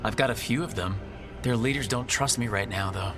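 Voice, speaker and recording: A man's voice: fairly low pitch, a little fast, big-room sound, music in the background, lightly acted. An actor playing a pragmatic, resolute male leader of adventurers in a video game, talking plainly. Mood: plain, defensive.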